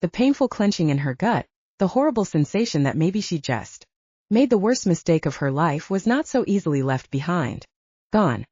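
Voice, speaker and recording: Mellow, low-voiced woman narrating fiction. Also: sing-song, moderate pace, breathy edge, no room sound, muffled recording. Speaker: audiobook narrator.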